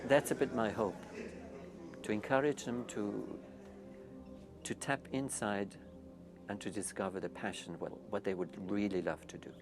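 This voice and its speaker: A mature man from Switzerland speaks with a Swiss accent in a fairly low voice. He phrases his thoughts haltingly and reflectively, as in an interview, with music clearly playing underneath. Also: fairly even intonation. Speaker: youth retreat founder. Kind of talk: interview-style personal talk.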